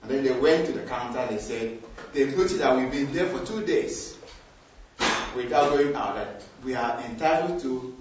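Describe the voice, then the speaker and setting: An older man from Nigeria with a Nigerian accent, telling a personal story to a group in an unhurried way with small hesitations. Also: mid-range voice, noisy background. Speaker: group participant sharing a story. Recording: echoey, far from the mic, dull.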